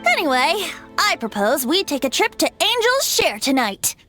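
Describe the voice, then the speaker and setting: A woman's voice: high voice, big pitch swings, moderate pace, music in the background. A woman voicing a playful young bard in a video game, who speaks in a teasing, wry and musing way.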